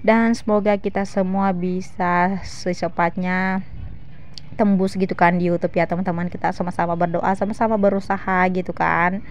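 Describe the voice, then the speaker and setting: Chatty low-pitched woman vlogger, dull recording. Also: little pitch movement, normal speed. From South Sulawesi, Indonesia. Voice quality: slightly shrill, by own account. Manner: chatty, giggly, frequent tag words. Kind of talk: casual vlog voice-over, chatting to viewers. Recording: dull lo-fi voice-over with faint noise.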